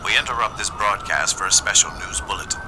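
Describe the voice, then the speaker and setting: Man as a low-voiced radio emergency newsreader, noisy. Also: quick pace, natural voice. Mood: grave, urgent. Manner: formal, urgent announcement. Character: grave male radio newsreader giving emergency bulletin. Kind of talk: radio news bulletin acting. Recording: noisy radio broadcast with sirens.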